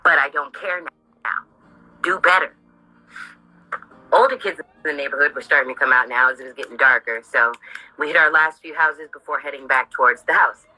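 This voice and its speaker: Woman, husky, sharp bright tone, informal author reading, candid, sardonic, profane, off-script asides. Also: low-pitched, a little fast, long pauses, rough recording. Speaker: author reading from own memoir. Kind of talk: informal read-aloud of memoir with off-script asides.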